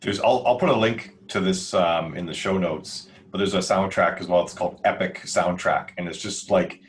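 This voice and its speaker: A man's voice: low voice, normal speed. A man speaking in casual podcast chat as a writer and member of the show's crew.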